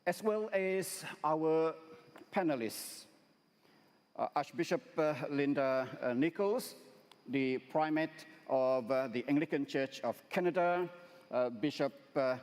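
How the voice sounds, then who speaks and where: A man hosting a plenary, introducing speakers formally and haltingly with frequent uhs, recorded in a large reverberant hall. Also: on the high side, a little mellow, clean tone.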